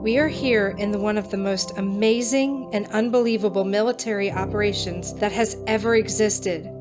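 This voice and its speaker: A woman's voice: medium pitch, steady tone, normal speed, over music, close to an everyday voice. A woman playing an upbeat, earnest young cadet in an audio drama, speaking eagerly and sincerely. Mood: earnest, hopeful.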